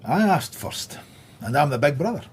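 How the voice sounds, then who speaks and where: Dogged male detective inspector, radio drama, lively higher-pitched delivery, sardonic, brusque. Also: normal speed, slight background noise. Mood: wry.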